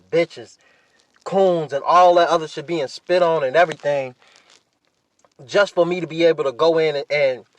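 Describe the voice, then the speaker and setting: A young American man with a slightly high voice, recorded fairly dry. He talks like a casual podcast host, rambling along in a laid-back way and swearing freely. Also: no room sound. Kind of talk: casual solo podcast chat.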